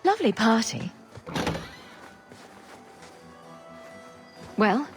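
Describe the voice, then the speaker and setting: A woman's voice: on the high side, very animated, moderate pace, over music. A woman voicing a sardonic, confident noble adventurer in a video game, with a dry, wry delivery. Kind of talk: video game cutscene acting.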